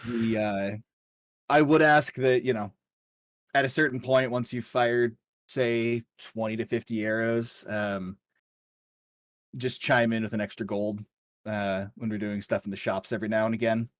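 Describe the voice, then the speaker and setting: A man running a tabletop game as game master, narrating and explaining rules in a casual, halting way. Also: lowish voice, steady tone.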